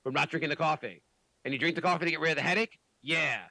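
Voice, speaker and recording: A man hosting a podcast, chatting casually, animated and swearing often with many restarts. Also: fairly high pitch, fast talker, vocal fry, no echo. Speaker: podcast host. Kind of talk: casual chat.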